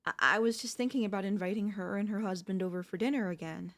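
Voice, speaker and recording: A woman playing an anxious, doting and quietly controlling wife in an intimate ASMR audio roleplay, with breathy, hesitant, coaxing delivery. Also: mid-range voice, quick pace, lightly acted. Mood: uneasy, probing.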